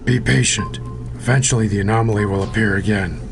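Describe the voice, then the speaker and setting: An actor playing a patient, level-headed soldier and mentor in an audio drama. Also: male voice, fairly low pitch, a little fast, faint music behind, natural voice. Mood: calm.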